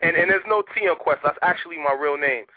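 A man from Haiti, a rapper guest talking casually in a radio interview, animated and streetwise. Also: a little high, dry recording. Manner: animated, streetwise, rapid talk with repeated "you know what I mean". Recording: tinny phone line, dry.

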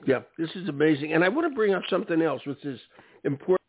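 Fast-talking male host on a tinny line. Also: fairly high pitch, very animated, breathy edge, no room sound. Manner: friendly, quick, interjecting, informal. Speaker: show host. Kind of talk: interview talk show. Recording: tinny call audio, dry.

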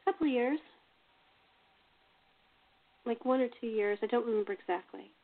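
A woman's voice: fairly high pitch, normal speed. A woman chatting casually as host and playwright, telling anecdotes and given to chuckling. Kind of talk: casual panel chat. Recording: narrow tinny phone-like call audio.

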